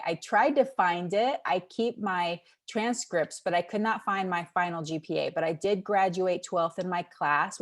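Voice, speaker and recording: Upbeat woman doctor giving a webinar talk. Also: fairly low pitch. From Texas, United States. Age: 40s. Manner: upbeat, friendly, encouraging. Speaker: pediatrician, guest speaker. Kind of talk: webinar presentation.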